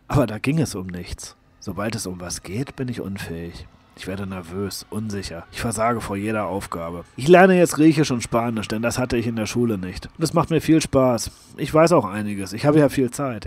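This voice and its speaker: A man's voice: medium pitch, a little mellow, no echo. A man reading a first-person literary narrative aloud, expressively and with emphatic delivery. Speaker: audiobook reader.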